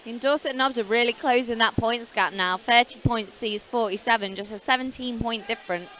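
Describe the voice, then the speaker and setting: A woman commentating roller derby, giving brisk play-by-play calls with dry humour. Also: a little high, a bit bright. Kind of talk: casual live sports commentary.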